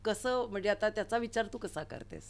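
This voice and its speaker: A woman with a medium-pitched voice hosts a studio interview. She talks conversationally and encourages her guest with appreciation. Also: animated, fairly quick. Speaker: interview host. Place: recording studio.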